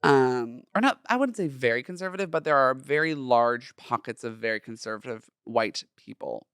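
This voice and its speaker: A young man from Southern California with a feminine, light voice, hosting a personal solo podcast in a chatty, campy, expressive way. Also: medium pitch, normal speed, clear, resonant tone, dry recording, studio quality. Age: young adult. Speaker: podcast host.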